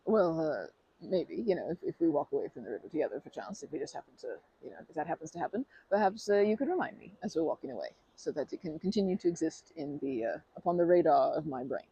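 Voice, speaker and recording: A woman's voice: low-pitched, very animated, fast, gravelly, slightly rough, dull audio. A woman in an ASMR roleplay who talks to the listener in a rambling, bashful and playful way, full of fillers and restarts. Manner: playful and earnest, laughing softly.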